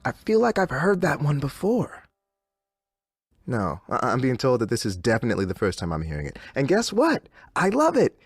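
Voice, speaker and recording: A theatrical male game radio DJ. His pitch swings widely as he keeps up an uneasy cheer. The sound is dry and close. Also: huge pitch swings, normal speed, husky, no room sound, exaggerated voice. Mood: forced enthusiasm. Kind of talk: scripted radio host patter.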